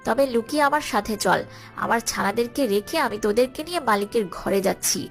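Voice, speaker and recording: A woman voicing an anxious, protective cartoon mother hen who scolds fretfully but has a soft heart. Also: on the high side, fast, soft background music, close to an everyday voice. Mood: fretful, caring. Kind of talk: children's cartoon voice acting.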